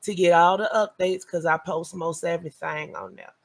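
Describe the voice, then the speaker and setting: A man's voice: on the high side, steady tone, normal speed, gravelly. A man hosting a live stream, wrapping up in an upbeat announcer's manner and plugging the next shows. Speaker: host.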